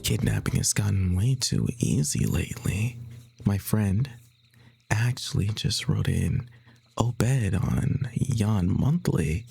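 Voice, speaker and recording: A man playing a sweetly menacing, obsessive stalker in a comic audio roleplay, soft, breathy and matter-of-fact. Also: lowish voice, slow pace, faint music behind, exaggerated voice. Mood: chatty, creepy. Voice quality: breathy, whispery.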